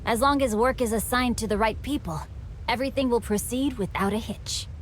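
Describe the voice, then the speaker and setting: A woman's voice: a little high, a little fast, slight background noise, lightly acted. A woman voicing an eloquent, playful young noble swordsman in a game cutscene, formal and teasing.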